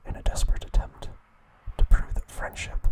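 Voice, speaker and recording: A man hosting an ASMR video, giving a whispered recap with playful asides. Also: moderate pace. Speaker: ASMR channel host.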